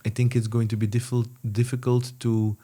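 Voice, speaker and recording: A man hosting a casual interview, talking haltingly with many restarts and fillers. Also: medium pitch, flat delivery, dark tone, husky. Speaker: podcast host.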